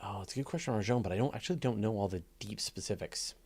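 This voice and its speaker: Guy hosting a live q&a, lowish voice, chatty explainer, leans on key phrases. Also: quick pace, darkish tone, breathy edge. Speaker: host of a live astronomy Q&A show. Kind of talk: casual live question-and-answer explaining.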